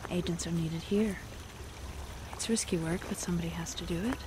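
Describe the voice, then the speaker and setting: A woman's voice: fairly low pitch, fairly quick, natural voice. Woman as a cautious resistance handmaid, outdoor noise. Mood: conspiratorial. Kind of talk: audio drama acting.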